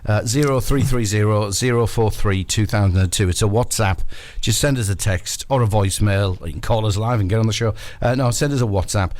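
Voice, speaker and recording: A man's voice: on the low side, a little fast, darkish tone. A man hosting a breakfast sports radio show with casual, jokey, self-deprecating football banter.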